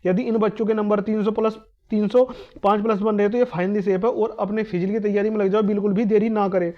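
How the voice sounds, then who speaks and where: A man explaining exam cutoff scores to students in a fast, repetitive, emphatic talk. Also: sits high, steady tone, dull audio. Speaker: exam coaching YouTuber.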